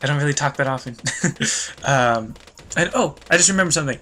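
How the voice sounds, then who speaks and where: Young male let's play host, bright tone, rambling stream-of-consciousness commentary, stuffy nose, faint keyboard typing behind. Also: fairly high pitch, lively intonation, fairly quick, slight background noise. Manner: rambling, loses train of thought, self-deprecating. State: stuffy nose, voice a bit worn.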